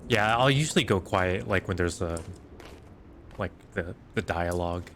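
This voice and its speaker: A man streaming a game, chatting casually in a halting way. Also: sits low, fairly bright tone, a little hiss or hum. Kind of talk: casual gameplay chat.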